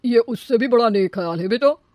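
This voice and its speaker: A woman's voice: a little high, lively intonation, no room sound, highs cut off, lightly acted. A woman voicing a gullible older father in a cartoon, easily impressed and full of admiration. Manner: admiring, drawn-out praise. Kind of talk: animated cartoon dialogue.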